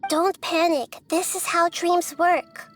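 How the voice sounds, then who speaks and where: A woman voicing a small, wise, gentle girl deity in a video game, explaining calmly and patiently. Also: very high voice, slow, faint music behind, heavily acted. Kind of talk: English video game voice acting.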